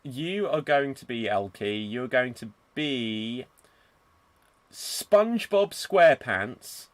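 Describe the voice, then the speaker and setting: A man's voice: mid-range voice, lively intonation, takes it slowly, no room sound. A man hosting a live-streamed improv game over a video call, bubbly and teasing, and often laughing. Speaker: improv show host.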